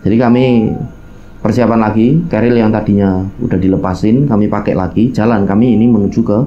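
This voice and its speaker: Low-voiced Javanese-accented man telling a story. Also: fairly even intonation, brisk, muffled recording. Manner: colloquial, addresses listeners, acts out quoted dialogue, mixes in local phrases. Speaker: storyteller recounting his own mountain hike. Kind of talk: casual spoken storytelling in a horror hiking story.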